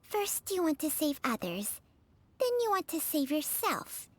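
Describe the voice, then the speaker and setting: This is an ancient female snake spirit in a video game, high-pitched and drawn out with slow, lingering phrasing. Her tone swings widely as she teases, always languid and world-weary. Music plays under her. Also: sing-song, no echo. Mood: teasing.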